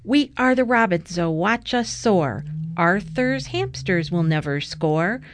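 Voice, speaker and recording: A woman's voice: fairly low pitch, animated, slow pace, slight background noise. A woman voicing a bossy, competitive young girl team captain in a brash, boastful manner for a children's storybook.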